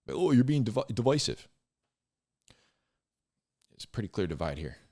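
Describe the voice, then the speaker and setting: A man giving informal, off-the-cuff Bible commentary in a conversational, halting way, repeating phrases for emphasis. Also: mid-range voice, very animated, mellow, breathy edge. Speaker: Bible storyteller and commentator, host.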